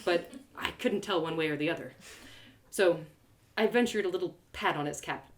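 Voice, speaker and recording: A woman reading a first-person story aloud with expressive, playful, dramatic delivery. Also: fairly low pitch, moderate pace, heavy background noise. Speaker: author reading a story live.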